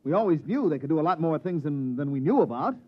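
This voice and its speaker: A man's voice: on the high side, lively intonation, fast, muffled recording. An actor playing a flustered young technician who stammers and stumbles over his words, in a 1950s radio drama. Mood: defensive.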